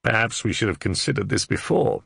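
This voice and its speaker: A man voicing an authoritative medieval under-sheriff who speaks in a measured, commanding way. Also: fairly low pitch, very animated, creaky, a bit bright, slightly rough, no room sound, studio quality, voice pushed up, full character voice. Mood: wry. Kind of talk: audiobook character voice.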